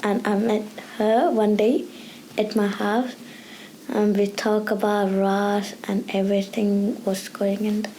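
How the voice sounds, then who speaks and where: A woman's voice: medium pitch, little pitch movement, takes it slowly, warm tone, a little hiss or hum. A young woman from India sharing her experience casually in simple, short phrases. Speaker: youth programme participant. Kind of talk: casual personal testimony.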